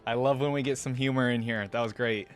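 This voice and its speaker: A man's voice: medium pitch, fairly even intonation, quick pace. A man hosting a game reaction channel, chatting casually and enthusiastically as he comments. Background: faint music.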